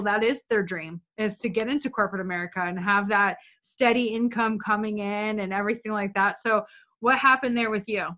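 A woman's voice: on the high side, sharp tone. A woman hosting a podcast interview, chatty and upbeat, in her thirties. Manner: chatty, upbeat, restarts words. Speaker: podcast host.

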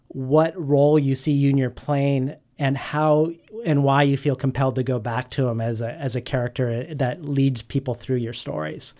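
A man's voice: mid-range voice, a little mellow. A man interviewing an author, asking long prepared questions in an earnest way with frequent restarts.

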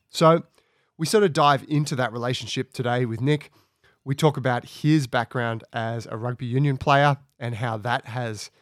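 A man with a raspy voice from a cold, speaking conversationally as he introduces his podcast from home. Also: medium pitch, dry recording, studio quality. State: has a cold. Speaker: podcast host.

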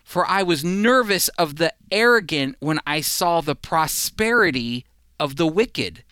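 Earnest radio bible guy, kinda high voice, dry studio sound, leans hard on points. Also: lively intonation, measured pace, sharp tone, clear, resonant tone, no echo. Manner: earnest, emphatic teaching with fillers and restarts. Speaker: radio Bible teacher, co-host.

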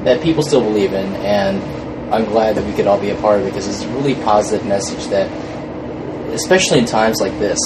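A man's voice: fairly low pitch, fairly quick. A man chatting casually as an interview guest, hesitant, often repeating words and trailing off mid-phrase. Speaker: podcast guest, fan film crew member. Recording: noisy room with steady background hum.

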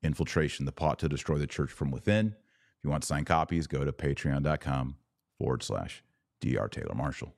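A man with an American accent and a low-pitched voice hosts a live commentary podcast. He talks straight to his viewers in an emphatic way, repeating words to drive points home. The sound is dry, with very little room in it. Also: normal speed, vocal fry, no room sound. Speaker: podcast host and religious commentator.

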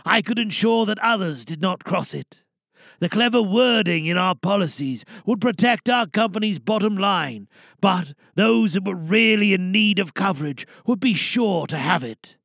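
A man playing a weary, resolute older insurance whistleblower, giving a dramatic speech to a crowd with deliberate pauses. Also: sits high, creaky, a bit bright, dry recording, clean studio sound, exaggerated voice.

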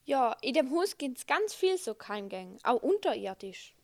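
A woman's voice: high-pitched, sing-song, unhurried, exaggerated voice. A female amateur playing Pierre, a welcoming boy, with careful recited delivery in a children's radio play. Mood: reassuring. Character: Pierre, welcoming boy host with a secretive house.

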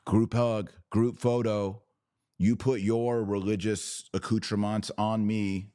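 A deep-voiced American man speaks in a very dry acoustic. He delivers religious commentary as a podcast host, unscripted and impassioned. His delivery is preachy and emphatic, built on short, punchy phrases. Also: level tone, slow, dark tone, no room sound. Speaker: podcast host taking viewer questions.

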